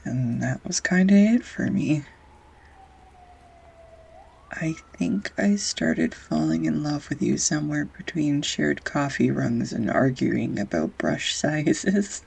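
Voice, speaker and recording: A woman playing a grumpy, sarcastic young art student who shyly confesses love in an intimate romantic audio roleplay, halting and wry. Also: sits low, lively intonation, vocal fry, husky, exaggerated voice. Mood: tender confession. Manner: halting, wry, flustered, sighs and soft laughs. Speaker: voice actor.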